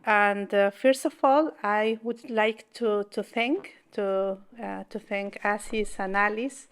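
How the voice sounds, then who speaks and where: A woman in her forties from southern Mexico, Mixe her first language, giving a public talk in English with a Mexican Spanish accent, halting and restarting as she searches for words. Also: medium pitch, fairly even intonation, clean tone. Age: 40s. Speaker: invited speaker.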